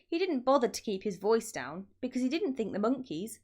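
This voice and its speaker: A woman reading a children's story as narrator, in an expressive scripted style. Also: fairly high pitch, a little fast, husky. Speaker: storybook reader.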